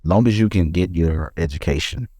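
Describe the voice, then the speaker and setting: A middle-aged man with a deep voice, talking earnestly in a casual podcast conversation and often repeating words as he goes. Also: moderate pace, dark tone, breathy edge, no room sound, studio quality. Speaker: podcast co-host.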